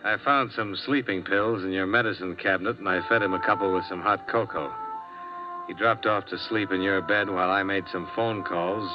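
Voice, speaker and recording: A hard-boiled investigator , played by an actor, speaks in a low, tired voice with clipped lines. Faint music sits under the dull sound of an old radio transcription. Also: male voice, fairly even intonation, normal speed, close to an everyday voice. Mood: weary. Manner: terse hard-boiled narration, dry and tired. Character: world-weary male insurance investigator. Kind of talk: 1950s radio detective drama.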